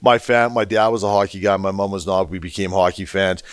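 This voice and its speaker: A man's voice: sits low, fairly even intonation, brisk, clear, resonant tone, no echo. A Canadian man in his fifties, speaking casually and conversationally as a podcast host. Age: 50s. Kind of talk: casual interview chat.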